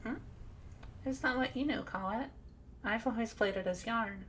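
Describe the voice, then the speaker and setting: A woman's voice: fairly high pitch, moderate pace, slight background noise, lightly acted. A woman voicing a sweet, timid cat girl in an ASMR roleplay, nervous, polite and hesitant. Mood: tentative. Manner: hesitant, polite, softly reluctant.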